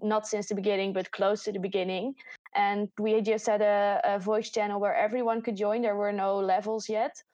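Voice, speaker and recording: A woman's voice: medium pitch, level tone, normal speed, darkish tone, no echo. Woman in her twenties, Dutch accent, chatty co-host, laughs a lot, dry close recording. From Netherlands. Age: 20s. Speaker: podcast co-host. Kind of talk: casual chat. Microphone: voice chat recording.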